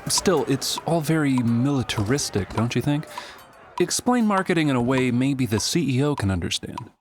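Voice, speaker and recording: A man's voice: mid-range voice, expressive, moderate pace, faint music behind, natural voice. A man playing a composed, skeptical senior executive in a comic musical audio drama, with a dry manner.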